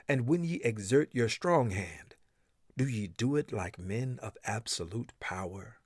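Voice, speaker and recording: A man's voice: mid-range voice, a little mellow, no echo. Solemn man reciting scripture, close and dry. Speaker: reader of a scripture translation.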